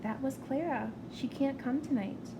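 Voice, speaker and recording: A caring, practical storybook mother as a woman reads her aloud. Her voice is fairly high, over a clearly noisy recording. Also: a little slow. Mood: gentle.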